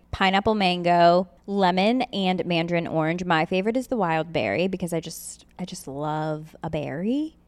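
A woman's voice: on the low side, no echo. A young American woman hosting a podcast, reading an ad in a casual, chatty, girlish and informal way. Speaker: podcast host reading an advertisement.

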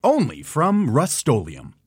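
A man's voice: a little high, huge pitch swings, measured pace, slightly rough, dry recording, clean studio sound. A man reading a punchy scripted product commercial as an announcer. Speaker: advertisement announcer.

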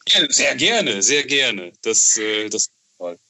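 A man with a lowish, very bright and forward voice speaks casually as an interview guest. He moves haltingly, doubles back on his words and keeps checking in with short tag questions. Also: very animated. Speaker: interview guest, novel author.

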